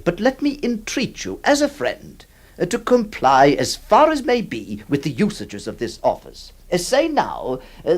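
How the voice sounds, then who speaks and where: A flustered stammering lawyer. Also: male voice, fairly high pitch, full character voice. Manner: stammering, theatrical, swinging between mock cheer and pleading. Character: middle-aged male lawyer, flustered, exasperated, kindly. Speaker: lawyer employing a scrivener, narrator. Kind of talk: 1960s radio drama acting.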